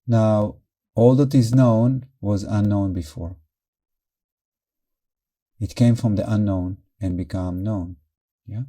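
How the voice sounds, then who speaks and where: Very mellow, soft-edged male meditation guide. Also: on the low side. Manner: calm, measured, short phrases with pauses. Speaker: meditation teacher.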